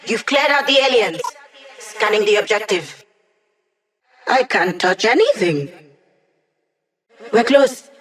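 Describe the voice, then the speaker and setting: A woman's voice: medium pitch, close to an everyday voice. A woman playing an upbeat, wisecracking young hacker. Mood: focused, informative. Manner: casual, playful, teasing. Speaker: voice actor. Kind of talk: video game voice lines.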